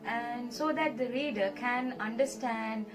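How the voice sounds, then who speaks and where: A woman's voice: on the high side, unhurried. A woman from Sri Lanka, giving earnest advice as an interview guest and repeating her key points. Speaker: scholarship recipient guest. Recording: distant mic with loud background music.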